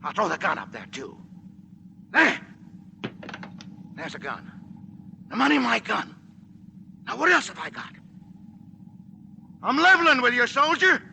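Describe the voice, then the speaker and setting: An actor playing a cornered, menacing gangster boss who blusters and wheedles in a 1940s radio drama. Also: male voice, extremely high pitch, big pitch swings, full character voice. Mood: wheedling, desperate. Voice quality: gravelly. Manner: shouting, fast, wheedling bluster. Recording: noisy vintage 1940s radio broadcast.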